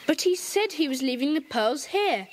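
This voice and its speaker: A woman's voice: sits high, animated, slight background noise. An eager young boy detective. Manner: eager, quick-thinking.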